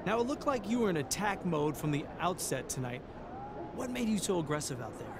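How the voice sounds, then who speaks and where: A polite sports reporter with a slightly high voice. He puts measured, professional questions in a sports game cutscene, with clear outdoor noise behind him. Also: sing-song. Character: polite male sports reporter interviewing a player. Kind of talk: video game cutscene acting.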